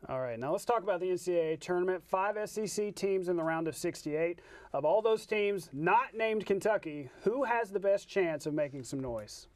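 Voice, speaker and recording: A man with a notably high voice presents a live TV sports show. He talks in a breezy, conversational way, mixing segment links with joking banter. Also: expressive, moderate pace, no echo.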